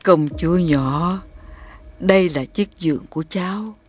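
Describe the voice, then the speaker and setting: A woman voicing a kindly, lonely old witch grandmother in an animated fairy tale, gentle and doting. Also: lowish voice, unhurried, faint music behind. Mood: soothing.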